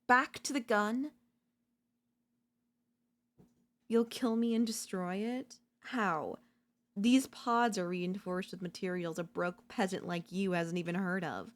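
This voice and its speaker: A woman voice-acting an obsessive, unhinged yandere in a dark ASMR audio roleplay, theatrical and taunting, mocking and seductive. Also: medium pitch, fairly even intonation, a little slow, natural voice. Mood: scornful. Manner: theatrical, taunting, swinging between intimate murmurs and intense outbursts.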